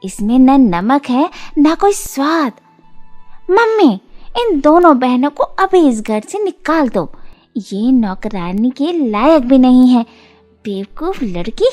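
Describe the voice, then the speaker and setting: A woman's voice: sits high, big pitch swings, slow, a little mellow, faint music behind, heavily acted. A woman voicing a spoiled, rude schoolgirl in a storybook cartoon, demanding and petulant. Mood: angry, petulant. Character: spoiled, rude schoolgirl, pampered daughter of the aunt.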